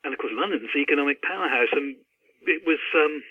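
A man with a husky voice, chatting casually and hesitantly as a guest author, often digressing. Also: fairly high pitch, quick pace, dry recording. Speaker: author guest discussing his novel. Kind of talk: casual discussion. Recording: narrow, tinny phone line.

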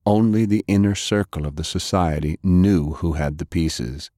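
A man narrating dramatic fiction as an audiobook reader, with a grave, deliberate delivery. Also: low voice, warm tone, no echo, studio quality.

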